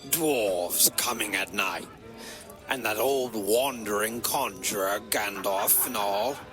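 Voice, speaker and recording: A man's voice: lowish voice, slow pace. An actor playing a suspicious, sour rustic hobbit in an audio drama, grumbling and insinuating as he gossips. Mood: suspicious, scornful. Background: music.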